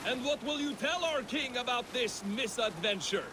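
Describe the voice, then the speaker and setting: Game Viking shipmate, very high for a man, blunt, mocking, noisy water behind. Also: animated, normal speed. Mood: scolding. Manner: sardonic, needling. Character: blunt, mocking Viking crewman, loyal and crude. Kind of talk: video game cutscene acting.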